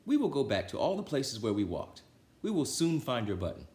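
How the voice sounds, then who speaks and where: A man's voice: medium pitch, sing-song, fairly quick, a little mellow, roomy sound, pitched above the performer's own voice, natural voice. A man voicing a friendly, reassuring and helpful frog in a children's storybook, speaking encouragingly.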